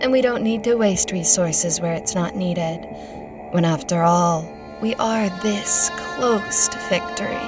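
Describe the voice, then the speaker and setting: Lady doing a bossy evil army commander, curt but unhurried, fantasy audio play, music behind. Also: fairly low pitch, recorded from a distance, natural voice. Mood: imperious. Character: imperious villainous commander of kobolds and undead. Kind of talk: fantasy audio drama acting.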